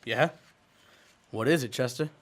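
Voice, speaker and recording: A man's voice: mid-range voice, unhurried, close to an everyday voice. A man playing a stern, watchful frontier marshal, terse and authoritative, in an old-time radio western. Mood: steady, dry.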